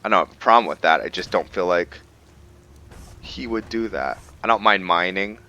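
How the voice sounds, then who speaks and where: A man's voice: low voice, normal speed, bright, forceful tone, highs cut off. A man giving casual roleplay gameplay commentary, thinking aloud to himself as he plays. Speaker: gaming video host. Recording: background music under voice. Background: game music.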